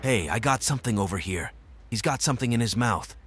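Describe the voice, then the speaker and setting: A man's voice: medium pitch, animated, fairly quick, a bit bright, breathy edge. A man playing an alert crime-scene investigator in a noir audio drama. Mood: intrigued.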